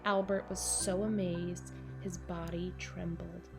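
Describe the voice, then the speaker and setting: A woman narrating a children's picture book in measured, deliberate phrases with dramatic pauses. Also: fairly low pitch, flat delivery, vocal fry, over music. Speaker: storybook reader for children.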